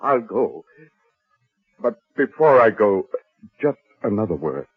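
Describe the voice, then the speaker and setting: An actor playing a timid, devoted old columnist in a radio drama, stammering and pleading in a husky voice. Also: male voice, fairly low pitch, big pitch swings, slow, no echo, soft background music, heavily acted. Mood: desperate pleading. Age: older. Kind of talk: old-time American radio drama acting. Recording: tinny, muffled old radio recording.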